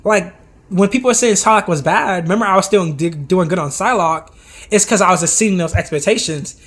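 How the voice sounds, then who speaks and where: A man with a fairly high, husky voice gone hoarse and worn out talks through game replays on a live stream. He chats loosely with his viewers, restarting sentences and wandering into asides as he goes. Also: fairly quick, a bit bright. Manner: chatty, talks to chat directly, many asides and restarts. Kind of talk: casual live-stream commentary.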